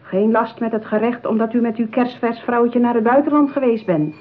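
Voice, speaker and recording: A woman's voice: a little high, normal speed, close to an everyday voice. An actress playing a formal, polite saleswoman at a marriage bureau, coaxing a customer in a radio play. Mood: persuasive, haughty. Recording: muffled, narrow-band radio play recording.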